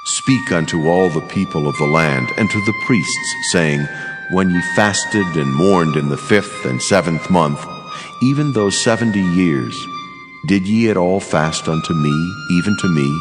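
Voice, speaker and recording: An actor voicing a solemn, commanding angel with grave, slow delivery in a scripture audio drama. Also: male voice, low voice, lively intonation. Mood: stern. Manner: grave, slow, declamatory pronouncements. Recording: long cavernous reverb, music behind. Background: music.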